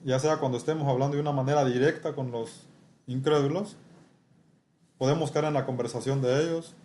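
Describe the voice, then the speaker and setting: A man preaching a sermon and teaching from scripture, speaking haltingly with frequent rhetorical tag questions. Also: medium pitch, steady tone, dark tone. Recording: noisy room ambience.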